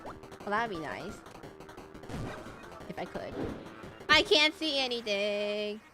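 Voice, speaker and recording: A woman's voice: mid-range voice, sing-song, slow. A woman with a slightly nasal voice chatting casually and self-deprecatingly as she rambles through a live game stream. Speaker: streamer playing a video game. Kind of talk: casual live-stream chat while gaming. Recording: music playing behind the voice.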